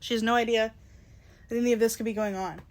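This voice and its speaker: Young woman cohost, kinda phlegmy, high-ish voice, curses a lot, super casual. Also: fairly even intonation, quick pace. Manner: blunt, swears freely, laughs easily. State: a bit phlegmy. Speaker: podcast co-host. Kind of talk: casual book club chat.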